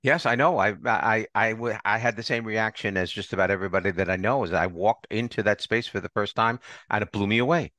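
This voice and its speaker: A man's voice: on the low side, brisk, few pauses, pushed and bright, no room sound. An older American man hosting a podcast in a casual, conversational interview style. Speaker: podcast host.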